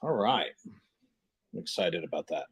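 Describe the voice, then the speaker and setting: A man's voice: deep voice, lively intonation, moderate pace, breathy edge. A man hosts a live hockey stream and calls the game. He talks in an informal, chatty way, a bit scattered as he juggles tasks while welcoming viewers. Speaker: live stream host calling hockey play by play. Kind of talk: casual live sports stream chat, greeting viewers.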